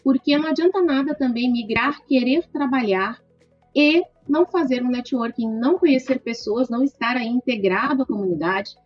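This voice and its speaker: Gaúcha woman lawyer, measured and dry. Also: sits high, no room sound. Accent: gaúcho Brazilian Portuguese. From southern Brazil (Rio Grande do Sul). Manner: measured, lists points with frequent "né". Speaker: lawyer guest. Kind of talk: interview answer, explanatory. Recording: dull lo-fi dry sound with faint music.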